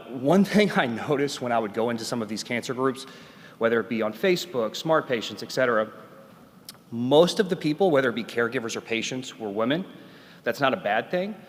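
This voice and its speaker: A man in his thirties from Tennessee giving a loud, earnest patient talk at a conference, with many "uh"s. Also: mid-range voice. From Nashville, Tennessee. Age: 30s. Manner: loud, earnest, filler-heavy. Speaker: patient advocate speaker. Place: conference hall. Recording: reverberant conference hall.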